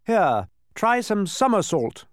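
Excitable storybook courtier performed by a man, high-pitched, emphatic, fussy and scolding. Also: takes it slowly, dry recording, clean studio sound, exaggerated voice. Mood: fussy, urging. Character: fussy, excitable bickering nobleman at royal banquet.

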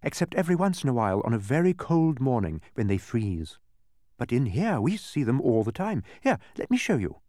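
A man's voice: mid-range voice, sing-song, a little fast, mellow, no echo, studio quality, lightly acted. A man voicing a stubborn, bossy, proud woman who keeps sounds in a storybook, speaking in an imperious, instructive way. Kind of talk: storybook character voice.

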